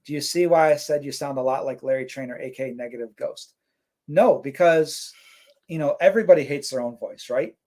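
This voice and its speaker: A man's voice: mid-range voice, a little fast. A man co-hosting a casual live stream, reading out chat comments and rambling with lots of fillers. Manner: relaxed, rambling, many fillers.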